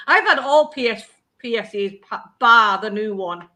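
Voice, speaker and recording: Northern English woman, streamer host, bright and fairly high, chatty and self-deprecating. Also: expressive, measured pace. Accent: Northern English, Oldham. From England. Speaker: cooking channel host. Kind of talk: casual livestream chat.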